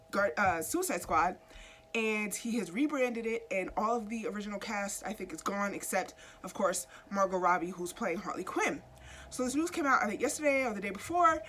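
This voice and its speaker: A woman's voice: medium pitch, bright, forceful tone, a little hiss or hum. Stuffy-voiced woman chatting comic news. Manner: chatty, asks viewers questions directly. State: stuffy from a cold. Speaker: YouTube host. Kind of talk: casual comic book news chat.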